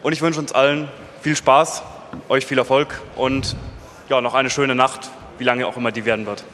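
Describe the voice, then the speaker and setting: A man's voice: mid-range voice, fairly even intonation, normal speed, noisy background. A man giving a live introduction as an event announcer on stage. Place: large event hall. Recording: echoey hall with long reverb.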